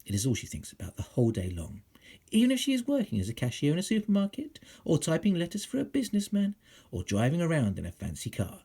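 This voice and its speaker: Man, husky voice, dramatic storybook reading, wildly varied intonation, suspenseful hushed drops. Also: a little high, huge pitch swings, fast. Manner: theatrical, suspenseful, drops into hushed whispers and swells for emphasis. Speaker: teacher reading a children's book aloud. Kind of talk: dramatic storytelling, scripted narration.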